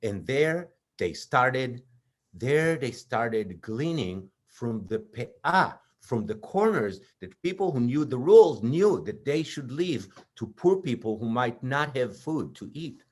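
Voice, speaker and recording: A man telling stories to children as a puppet show host, expressive, with simple repeated phrasing. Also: mid-range voice, slow pace. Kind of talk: children's storytelling.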